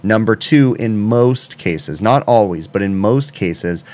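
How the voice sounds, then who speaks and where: Very deep-voiced man explaining a trade. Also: animated, slow, darkish tone. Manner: explains step by step, often checks in with "okay?". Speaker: HVAC technician explaining a repair. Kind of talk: instructional explanation.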